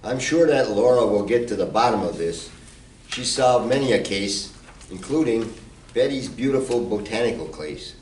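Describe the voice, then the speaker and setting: Man playing a low-voiced, amiable detective. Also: expressive, normal speed, darkish tone, close to an everyday voice. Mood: confident. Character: amiable husband-and-wife club detective, man. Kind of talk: amateur old-time radio play. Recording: roomy, distant mic, faint noise.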